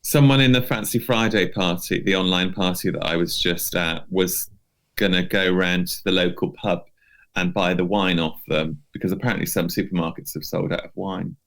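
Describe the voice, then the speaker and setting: Low-pitched man, dry casual chat. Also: steady tone, normal speed, a bit bright. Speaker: podcast co-host.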